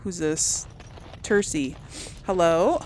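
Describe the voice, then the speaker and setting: A woman's voice: lowish voice, animated, takes it slowly, darkish tone, slight background noise. A woman doing casual video game commentary, rambling and thinking aloud in an unsure way. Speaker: video game let's-play host.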